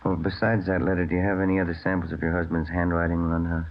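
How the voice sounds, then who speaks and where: An actor playing a calm, polite police detective, the junior partner in a 1950s radio crime drama. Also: male voice, very fast, a little hiss or hum. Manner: low-key, polite. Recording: dull old radio recording.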